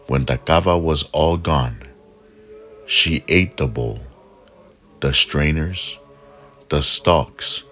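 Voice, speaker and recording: A man narrating a folk tale in a measured, deliberate storytelling manner. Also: a bass voice, fairly bright tone.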